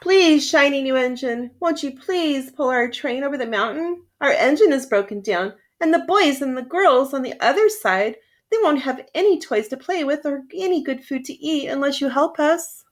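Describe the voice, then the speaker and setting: A woman's voice: high-pitched, animated, normal speed, pitched above the performer's own voice. A woman voicing small toys, a little clown and dolls, earnest and pleading, in a storybook reading.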